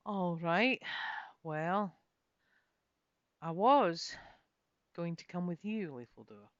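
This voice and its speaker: A woman's voice: lowish voice, slow, no room sound, dull audio. A woman voicing a wry young noblewoman adventurer in a storybook, colloquial, hesitant yet firm.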